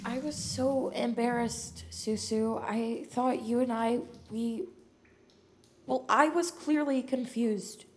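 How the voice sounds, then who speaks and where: A woman's voice: fairly high pitch, steady tone, takes it slowly, slight background noise. A woman playing a guarded, blunt but vulnerable American teenage girl in an audio drama, earnest and slangy. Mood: hurt, embarrassed. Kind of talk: naturalistic audio drama acting.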